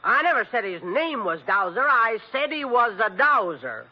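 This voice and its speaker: A woman's voice: fairly high pitch, sing-song, moderate pace. An actress playing a folksy, no-nonsense old country woman with a rural American accent in an old radio drama. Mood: puzzled, folksy. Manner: folksy, matter-of-fact, ungrammatical. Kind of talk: 1940s radio acting. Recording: dull old radio recording.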